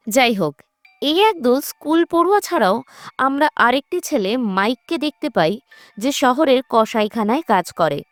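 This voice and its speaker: A woman's voice: fairly high pitch, no room sound. A woman narrates a scripted horror film recap in a storytelling style, as a voice-over. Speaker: narrator of a movie recap channel. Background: background music.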